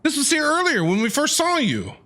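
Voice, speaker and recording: A woman's voice: high voice, wildly swooping pitch, fairly quick, background noise. A woman streaming a video game, casually reacting and talking back to the characters. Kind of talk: casual live gameplay reaction. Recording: game music faintly behind.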